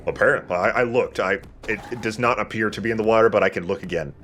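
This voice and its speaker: Male let's play host, fairly low register, dry opinionated delivery, casual commentary over game music. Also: fast talker, fairly bright tone.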